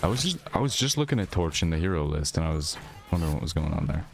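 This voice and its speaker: Very deep-voiced man murmuring slowly. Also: big pitch swings, vocal fry. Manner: low, slow, soft-spoken ASMR delivery with blunt swearing; teasing, trash-talking teammates. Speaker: streamer. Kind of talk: casual gameplay commentary. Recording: game music playing behind voice. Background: game music and sound effects.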